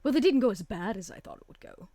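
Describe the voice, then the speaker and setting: A woman voicing a composed, sardonic female vampire in a tabletop roleplay, measured and dry-witted. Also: mid-range voice, expressive, warm tone, no echo, voice pushed up, lightly acted. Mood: confiding.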